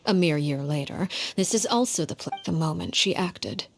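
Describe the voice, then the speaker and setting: A woman voicing a cold, composed and menacing guardian in a video game, speaking in a measured, formal, ominous manner. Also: low-pitched, animated, gravelly, slight background noise. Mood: solemn, composed. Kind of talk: video game voice acting, storytelling dialogue. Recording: music behind the voice.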